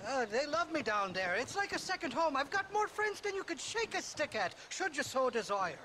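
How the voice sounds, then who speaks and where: Very high, swooping Irish rogue. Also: male voice, very animated, normal speed, sharp tone, heavily acted. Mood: cajoling, carefree. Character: boastful, slippery, easygoing Irish drifter with a colourful tongue. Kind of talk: video game cutscene acting. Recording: noisy outdoor ambience behind voice.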